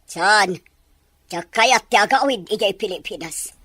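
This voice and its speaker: A woman's voice: medium pitch, measured pace, fairly bright tone, slightly rough, no echo. A woman acting a firm, insistent character in an Ilocano radio drama, speaking emphatically. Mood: indignant.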